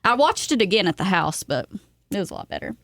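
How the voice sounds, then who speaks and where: A woman co-hosting a casual podcast chat about films, talking in a candid, expressive way. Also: medium pitch, fast talker, no echo, clean studio sound. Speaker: podcast co-host.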